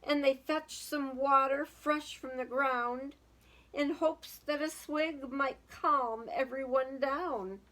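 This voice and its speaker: A woman's voice: high-pitched, fairly even intonation, slow pace, sharp tone, poor audio. A woman reading a picture book aloud to young children, dramatic in the story and casual in asides that put questions to the listeners.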